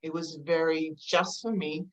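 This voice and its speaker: A woman with a mixed Eastern European and Australian accent, telling her story in a halting, reflective way as an interview guest. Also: deep voice, little pitch movement, low-quality recording. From former Yugoslavia, moved to Australia at eleven. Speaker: podcast guest, counselor.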